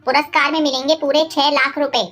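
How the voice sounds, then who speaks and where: A woman playing a high-voiced, polite young neighbourhood woman. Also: flat delivery, fairly quick, fairly bright tone, soft background music. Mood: polite, chatty. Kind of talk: Hindi cartoon moral-story voice acting.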